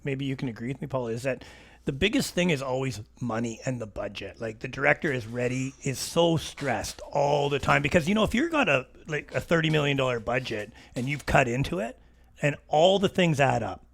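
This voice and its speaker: Gravelly male co-host in casual discussion. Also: mid-range voice, expressive, brisk.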